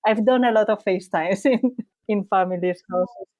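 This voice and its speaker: Mellow-voiced woman. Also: medium pitch. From Spain. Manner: thoughtful, slightly halting non-native English. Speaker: podcast guest, bike traveller. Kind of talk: casual interview answers.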